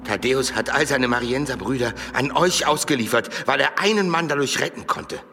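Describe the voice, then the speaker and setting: A creaky, frightened fat monk. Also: male voice, mid-range voice, brisk, bright, forceful tone, long reverb tail. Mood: nervous, eager. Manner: pleading, stammering. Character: frightened fat monk, pleading, sly informer. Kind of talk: German audio drama acting. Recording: music behind the voice.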